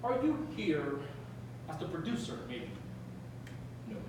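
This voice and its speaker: Dude playing a bossy producer, curt and talking down to people, echoey hall, noisy. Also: male voice, fairly high pitch, huge pitch swings, measured pace. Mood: lecturing. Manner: lecturing, curt, commanding. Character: bossy male film producer, blunt and condescending. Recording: roomy live theatre, distant mic, noisy.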